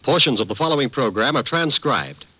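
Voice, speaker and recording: Animated American old-time radio announcer, male. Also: mid-range voice, fairly quick. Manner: formal, polished delivery. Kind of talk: mid-century scripted radio announcing. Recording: muffled old radio broadcast. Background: music.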